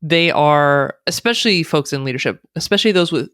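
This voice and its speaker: American woman guest, measured and explanatory, clean dry recording. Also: sits low, animated, fairly bright tone, dry recording. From United States. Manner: thoughtful, speaks in long explanatory runs with fillers. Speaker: guest, diversity consultant. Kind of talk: conversational interview.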